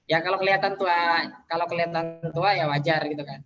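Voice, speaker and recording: A man's voice: fairly high pitch, fairly even intonation, a little fast, highs cut off. A man in his twenties, speaking casually from home as a guest speaker in an online seminar, with many fillers and light self-deprecating jokes. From Indonesia. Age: 20s. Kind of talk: online business seminar sharing. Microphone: video call.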